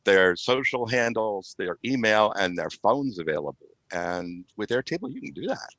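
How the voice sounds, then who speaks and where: A man giving a measured, halting technical explanation as a podcast guest. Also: lowish voice, very animated, fairly bright tone, dry recording. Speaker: IT specialist and consultant, guest. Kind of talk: explanatory product talk on a podcast.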